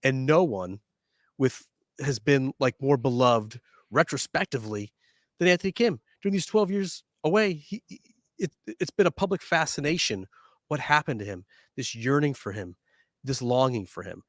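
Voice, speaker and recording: A man's voice: medium pitch, expressive, no room sound, clean studio sound. Stuffy-nosed man co-hosting a podcast. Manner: measured, slightly tired delivery. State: nasal from a head cold. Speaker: podcast co-host. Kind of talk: casual sports podcast chat. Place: studio.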